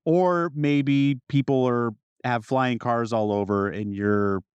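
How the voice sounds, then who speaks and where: A man co-hosting a podcast, riffing in casual, animated conversation. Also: medium pitch, slow pace, clean tone, dry recording, dull audio. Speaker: podcast co-host.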